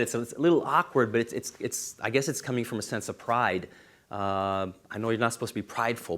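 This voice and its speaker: An earnest man speaks at a university seminar, pitched squarely in the middle of the male range. He is a law professor from Israel, and he leans hard on the key numbers to drive them home. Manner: earnest, stresses key figures with emphasis.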